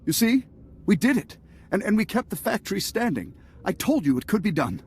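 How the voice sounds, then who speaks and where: Casting: eager rebel fighter, high raspy voice, insistent, lively game acting. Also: male voice, fairly quick, full character voice. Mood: elated. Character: excitable, earnest male resistance fighter. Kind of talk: video game cutscene acting.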